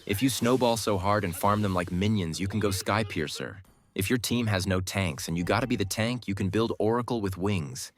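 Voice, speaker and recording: Male gaming guide narrator, lowish pitch, instructive delivery with cocky trash-talk flavor. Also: moderate pace. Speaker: gaming guide creator, commentator. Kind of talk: scripted game guide commentary.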